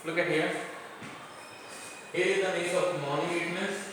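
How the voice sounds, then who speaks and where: A man's voice: high-pitched, steady tone. A man with an Indian accent teaching English literature as a lecturer, explaining a text and its word meanings in short, repeated, didactic phrases. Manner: didactic, repetitive, pausing between short phrases. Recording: very echoey hall, distant mic, faint noise.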